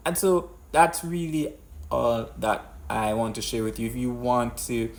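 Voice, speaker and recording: A man hosting an unscripted business commentary, explaining in a deliberate way and often rephrasing his points. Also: mid-range voice, fairly even intonation. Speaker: host of a business commentary show.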